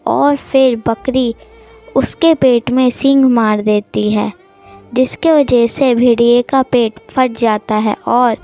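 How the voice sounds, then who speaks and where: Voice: mid-range voice, darkish tone. A young-sounding storyteller narrating an animal story for children.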